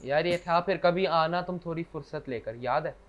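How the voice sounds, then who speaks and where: A man's voice: a little high, moderate pace. A man co-hosting a podcast, chatting casually and reciting bits of verse over an online call. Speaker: podcast co-host. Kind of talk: casual chat, reciting couplets. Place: remote internet call. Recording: dull lo-fi online call, faint noise.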